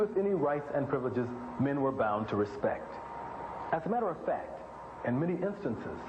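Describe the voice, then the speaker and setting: A man presenting scripted, lecture-like narration with formal, emphatic and deliberate phrasing. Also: medium pitch. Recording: roomy, noisy, dull lo-fi audio.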